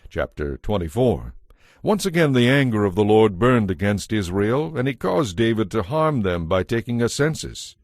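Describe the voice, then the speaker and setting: Low-voiced man narrating scripture, dry recording. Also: big pitch swings, moderate pace, darkish tone, dry recording. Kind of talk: scripted dramatized Bible narration.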